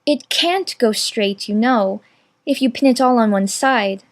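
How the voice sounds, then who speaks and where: A man's voice: very high-pitched, measured pace, voice pushed up. A man voicing a polite, earnest little storybook girl, light and girlish, with a prim, sensible, well-mannered way of speaking. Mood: helpful.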